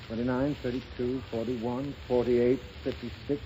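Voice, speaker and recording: A man's voice: medium pitch, flat delivery, moderate pace, background noise, lightly acted. An actor playing an impatient, harried father who scolds his child, in 1930s radio comedy acting. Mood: exasperated. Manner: exasperated, scolding. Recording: muffled 1930s radio recording.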